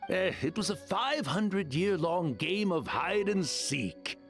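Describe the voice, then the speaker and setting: A man's voice: high voice, sing-song, slow pace, fairly bright tone, faint music behind, heavily acted. A man playing a cheeky, playful, unrepentant trickster creature in a video game. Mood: playful, defensive. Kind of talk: scripted game cutscene acting.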